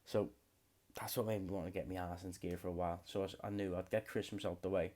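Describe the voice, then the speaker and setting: A man's voice: deep voice, flat delivery, fast, a little mellow. A man in his late twenties, hosting a podcast alone in a rambling, swear-heavy casual chat. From United Kingdom. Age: late 20s. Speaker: podcast host.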